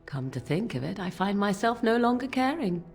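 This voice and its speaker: A woman's voice: medium pitch, very animated, moderate pace, darkish tone. Haughty, sardonic woman, swooping intonation. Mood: cool disdain. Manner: arch, disdainful, formal.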